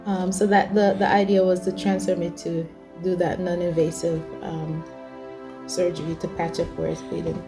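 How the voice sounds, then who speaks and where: A woman in her forties speaks in a slightly low voice as she gives her testimony. She talks hesitantly and often starts her sentences over. Music plays clearly behind her. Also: level tone, moderate pace. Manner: hesitant, many fillers and restarts. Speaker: host telling her testimony. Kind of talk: personal testimony.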